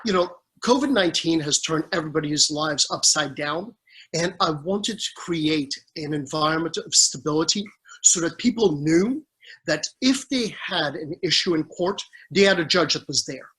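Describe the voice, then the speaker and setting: A man's voice: on the high side, lively intonation, moderate pace, a bit bright, breathy edge, poor audio. A middle-aged man from the Caribbean, a family law judge, giving earnest interview answers over a video call with frequent restarts and fillers.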